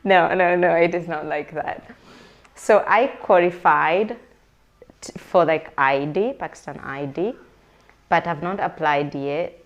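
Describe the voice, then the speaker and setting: A woman chats slowly and unhurriedly to her viewers. Her voice sits slightly low. She rambles, filling pauses with little hesitations and correcting herself as she goes. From Uganda. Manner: chatty and rambling, with many fillers and self-corrections. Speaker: vlogger. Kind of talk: casual to-camera chat, answering viewer questions.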